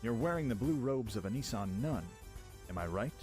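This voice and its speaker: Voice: mid-range voice, animated, fairly quick, a little mellow, soft background music, natural voice. A performer playing a breezy, confident young male prince and adventurer in a radio play. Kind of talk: radio play acting, fan production.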